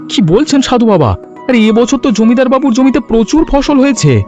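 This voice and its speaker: A man voicing an excitable, loyal village helper in a cartoon, exclaiming and halting over filler words. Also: very high voice, highs cut off. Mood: surprised. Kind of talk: cartoon story dialogue. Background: music.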